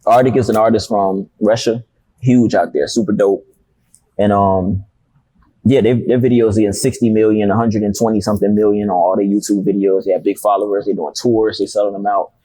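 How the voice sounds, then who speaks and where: A man's voice: lowish voice, steady tone, brisk, warm tone, dry recording, low-quality recording. A young man, a rapper telling stories in a casual interview, laid-back and slangy. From Miami, Florida. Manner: laid-back, slangy, loose storytelling with profanity. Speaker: rapper guest.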